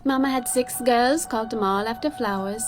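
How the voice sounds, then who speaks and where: An actress playing a cheeky, warm-hearted young Jamaican woman who manages a bar in a radio drama, teasing and frank in an unhurried way. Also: fairly high pitch, soft background music, lightly acted. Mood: chatty, playful.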